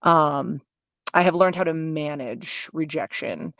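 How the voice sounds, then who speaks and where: A woman with a low register and a constant gravelly fry in her voice, talking candidly and with self-deprecating humor. Her speech is loose and chatty, full of fillers and quick restarts. The sound is dry and close, with no room around it. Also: a bit bright, dry recording. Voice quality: low voice with heavy vocal fry. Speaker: audiobook narrator. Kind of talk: casual interview answers.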